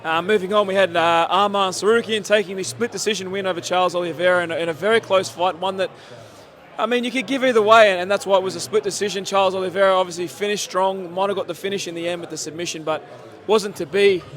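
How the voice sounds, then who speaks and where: Male host, high voice, hoarse, rambling and excitable, casino sports bar interview. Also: fast talker. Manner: excited, rambling, many fillers. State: losing his voice from yelling. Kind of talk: post-fight reaction interview.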